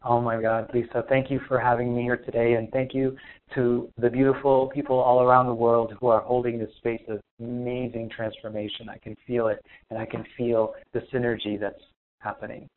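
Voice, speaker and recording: A man's voice: mid-range voice, level tone, a little fast. Reflective man guest on a phone line. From Lebanon. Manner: soft, reflective, hesitant with many ums. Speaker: guest, spiritual teacher. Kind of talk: interview answers.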